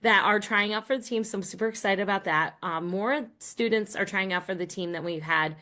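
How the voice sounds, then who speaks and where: A woman's voice: mid-range voice, brisk, bright, forceful tone. A middle-aged American woman, a professor appearing as a guest, explaining her work conversationally. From Rhode Island and Massachusetts, United States. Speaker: guest, college professor and team coach.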